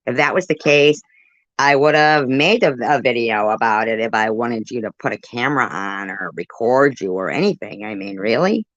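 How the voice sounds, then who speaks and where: A woman's voice: very deep voice, moderate pace, a bit bright, no room sound. Woman host, angry rebuttals, swears, muffled dry lo-fi audio. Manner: combative, swearing, cuts in to rebut. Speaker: channel host reacting to a clip. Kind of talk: heated commentary.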